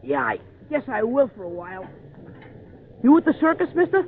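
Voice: mid-range voice, very animated, fairly quick. A performer playing an eager, wide-eyed small-town boy with folksy gee-whiz talk in old radio-drama style. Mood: eager, wondering. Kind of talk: 1940s-50s radio drama acting. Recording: tinny old radio recording.

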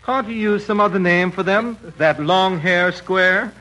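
A man acting out a fussy old-money father in an old radio comedy, grumbling dry comebacks. His voice sits fairly high under a loud hiss from the aged recording. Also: a little slow. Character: gruff fussy tycoon father.

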